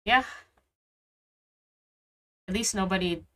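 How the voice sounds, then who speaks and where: Bright-voiced casual woman streamer. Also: medium pitch, slow. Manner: slangy, colloquial. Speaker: game streamer. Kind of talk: casual reactions and chat during play.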